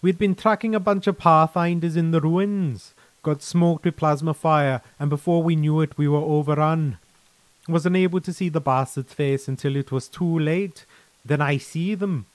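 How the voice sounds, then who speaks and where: A man's voice: fairly high pitch, fairly even intonation, moderate pace, dry recording, voice pushed up, natural voice. A man voicing a chatty, frank, easygoing veteran soldier in a sci-fi story, with colloquial, mildly profane, persuasive talk. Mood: reminiscing.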